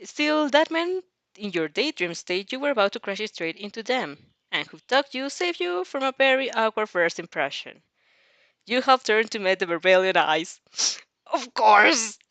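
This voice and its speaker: A woman reading fan fiction aloud as an expressive narrator, with casual reactions of her own mixed in. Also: on the high side, normal speed, dry recording.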